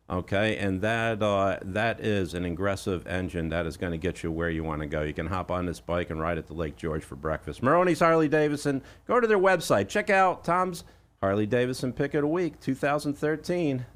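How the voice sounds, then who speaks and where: Guy with a lowish voice hosting radio, chatty salesman style, punching key words. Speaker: radio show host. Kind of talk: radio hosting and live ad reading.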